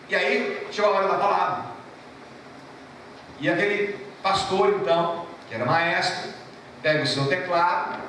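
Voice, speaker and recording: Brazilian pastor preaching in an echoing church. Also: male voice, high-pitched, slight background noise. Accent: Brazilian Portuguese. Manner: emphatic, repeats key phrases slowly; storytelling, animated rises and drops. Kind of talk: sermon. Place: church hall. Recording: echoey church from far off.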